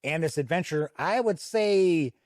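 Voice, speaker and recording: A man with a slightly high voice reviews a tabletop game as he pages through it. He explains things in a conversational, unscripted way, and the recording is dry and close, with little room sound. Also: animated, a little slow, darkish tone, no echo. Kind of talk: unscripted review commentary while paging through a product.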